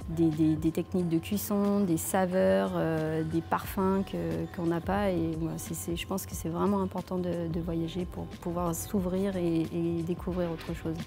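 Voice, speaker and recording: Hesitant woman chef over soft music. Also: on the low side, little pitch movement, moderate pace, hardly any pauses. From France. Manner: hesitant, many fillers and restarts. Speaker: head chef. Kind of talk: filmed interview answers. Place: leafy outdoor restaurant courtyard. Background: soft background music.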